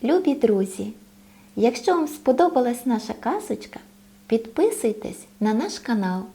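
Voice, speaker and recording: A woman's voice: fairly high pitch, sing-song, normal speed, dark tone. A woman storyteller narrating a fairy tale expressively for small children.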